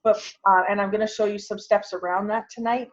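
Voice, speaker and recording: A woman's voice: mid-range voice, steady tone, brisk. Casting: female online instructor, mid-range voice, informal and conversational, heard through a dull lo-fi video call. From Canada. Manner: conversational, thinking aloud as she demonstrates. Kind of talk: informal tutorial with screen sharing. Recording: muffled, lo-fi video call audio.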